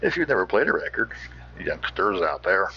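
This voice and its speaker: A man's voice: low voice, big pitch swings, moderate pace, husky, dull audio. A man hosting a podcast, talking casually in a hesitant, meandering way. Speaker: podcast host. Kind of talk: casual rambling sign-off talk.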